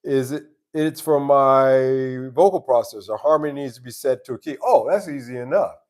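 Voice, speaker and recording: A man with a very low voice hosting a casual live stream, answering viewer questions in an easygoing, rambling way with many restarts. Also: moderate pace, a little mellow. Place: live stream from home base abroad.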